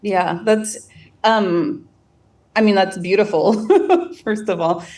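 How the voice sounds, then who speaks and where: A woman's voice: medium pitch, fairly even intonation, slow pace, darkish tone. Woman, kinda far from the mic, thoughtful, lots of um and like. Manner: thoughtful, hesitant, many fillers. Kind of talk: casual podcast discussion. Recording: a bit distant from the mic.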